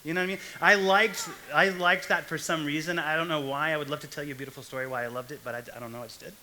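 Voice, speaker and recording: A man's voice: fairly high pitch, fairly even intonation, very fast, bright, forceful tone, a little hiss or hum, rough recording. A young man from Texas giving a casual, joking sermon to a young adult church group. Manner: chatty, jokey asides. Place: church hall stage.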